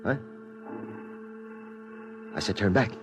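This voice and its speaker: A man's voice: takes it slowly, natural voice. A man playing a ruthless, boastful industrialist in a 1950s radio drama, with a blustering, imperious delivery. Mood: angry demanding. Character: ruthless, boastful male industrialist, domineering and power-hungry. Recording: noisy old-time radio recording.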